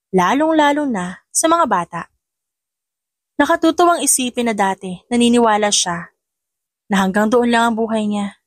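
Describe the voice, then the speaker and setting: A woman's voice: medium pitch, no echo, studio quality. A woman with a Filipino accent reading a romantic story as scripted, dramatic audio narration.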